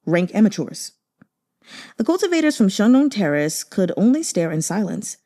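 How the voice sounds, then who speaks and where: A woman reading a novel aloud as an audiobook narrator, in expressive scripted storytelling. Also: medium pitch, brisk. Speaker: audiobook reader.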